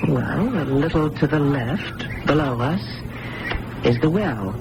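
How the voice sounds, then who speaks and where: Earnest, trusting young heroine for vintage radio drama; expressive, highly varied pitch, noisy broadcast sound. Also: female voice, slow, exaggerated voice. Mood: bright, earnest. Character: emotional young amnesiac blind woman, earnest and trusting. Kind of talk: old-time radio drama acting.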